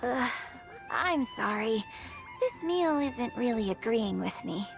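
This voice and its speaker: A young opera star from a video game, refined and earnest. Her voice sits fairly high with a slightly creaky edge. She words things formally and with genteel theatrical poise, over soft background music. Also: expressive, slow, heavily acted. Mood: delighted. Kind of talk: video game character voice lines.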